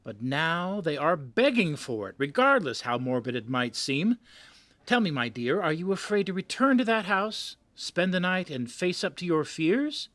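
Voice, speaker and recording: Man acting a pushy, animated magazine boss. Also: fairly high pitch, normal speed, no echo. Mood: coaxing. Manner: theatrical, cajoling, patronizing. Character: pushy, persuasive male magazine editor boss, patronizing. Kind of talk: amateur audio drama acting.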